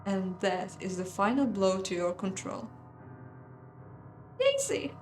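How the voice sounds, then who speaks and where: A woman hosting a game playthrough, reading a visual novel aloud in a measured dramatic way with casual, hesitant asides. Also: mid-range voice, fairly even intonation. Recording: roomy echo, music behind.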